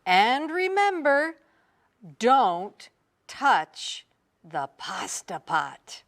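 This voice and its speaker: A woman's voice: on the high side, sing-song, drawn-out words, a bit bright, pitched above the performer's own voice, heavily acted. A woman voicing an old storybook village witch, kindly but bossy, chanting and giving firm instructions in a singsong way. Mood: firm, instructing.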